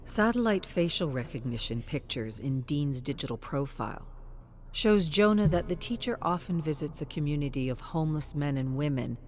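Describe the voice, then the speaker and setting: A woman's voice: deep voice, expressive, normal speed, darkish tone. A woman reading scripted story narration as a narrator.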